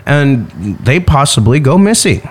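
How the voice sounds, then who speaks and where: A man's voice: on the high side, very animated, unhurried. A man co-hosting a podcast in casual chat, often restarting and repeating words, filling gaps with "you know". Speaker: podcast co-host. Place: Thailand.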